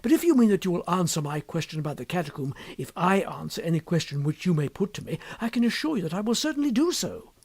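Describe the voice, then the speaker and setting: A man voicing an earnest, hot-tempered, wealthy young English gentleman in an audiobook story, speaking formally and emphatically. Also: high voice, big pitch swings, fast talker, hardly any pauses, dry recording, exaggerated voice. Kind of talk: audiobook character dialogue.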